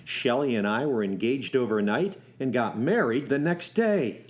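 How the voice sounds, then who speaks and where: A man's voice: medium pitch, lively intonation, moderate pace, close to an everyday voice. A man acting as a young driving instructor and soldier, telling his love story in a wry, folksy way for a radio play. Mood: wry, nostalgic. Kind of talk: first-person radio storytelling with acted dialogue.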